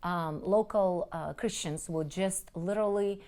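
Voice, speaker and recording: A woman's voice: lowish voice, measured pace, a little mellow, clear, resonant tone. Russian-speaking Ukrainian-born woman, earnest. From Crimea, Ukraine. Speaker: interviewee, relief group leader. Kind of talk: conversational interview.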